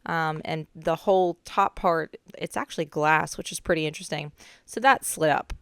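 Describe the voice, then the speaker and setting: A young woman, a little hoarse from a cold, casually narrating her own renovation footage with chatty asides. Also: sits low, normal speed, dry recording, studio quality. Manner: chatty, relaxed, with frequent "um" asides. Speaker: DIY renovation vlogger.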